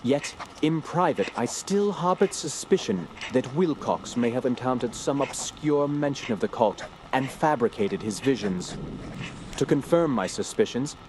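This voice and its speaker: An actor playing an earnest, scholarly investigator, narrating a radio drama in formal, measured, literary phrasing. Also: male voice, lowish voice, natural voice. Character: earnest, scholarly young male investigator narrating his inquiry. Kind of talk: scripted first-person drama narration.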